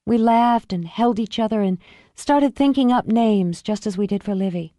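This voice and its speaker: A woman voicing a distraught young mother who pleads through tears, her voice breaking high. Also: moderate pace, dark tone, no echo, clean studio sound, lightly acted. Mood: anguished. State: tearful.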